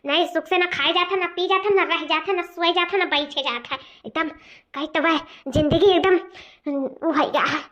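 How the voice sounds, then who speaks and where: Woman as a very high-pitched rustic village mother. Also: fairly even intonation, normal speed, a bit bright. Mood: worried. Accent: eastern Uttar Pradesh. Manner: chattering, repetitive, rustic. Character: excitable, fretful village mother and gossiping sister-in-law. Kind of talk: comic cartoon dialogue. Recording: dull lo-fi cartoon soundtrack.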